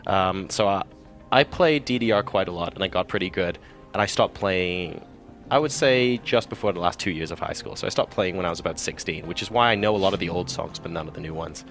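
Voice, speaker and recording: A man from Hong Kong, an esports caster, talking quickly and enthusiastically in an interview at a busy event. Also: deep voice, a bit bright, soft background music. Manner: quick, chatty and enthusiastic, with frequent fillers. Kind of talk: casual interview answers.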